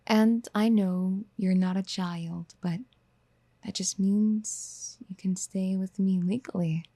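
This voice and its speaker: A woman playing a possessive, motherly yandere in a soft-spoken ASMR roleplay, gentle and coaxing, often slipping toward a breathy whisper. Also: mid-range voice, fairly even intonation, takes it slowly, no echo. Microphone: close studio mic.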